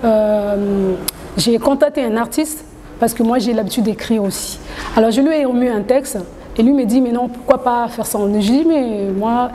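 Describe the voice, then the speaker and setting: A woman from Congo-Brazzaville, chatting as an interviewed singer with hesitant, halting phrasing. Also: on the high side, dark tone. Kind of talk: casual interview chat. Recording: reverberant hall, background noise.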